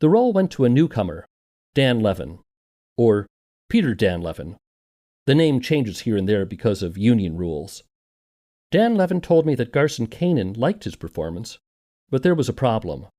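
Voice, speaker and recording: A man's voice: mid-range voice, very animated, normal speed, warm tone. A man narrating a scripted documentary podcast as its host and interviewer.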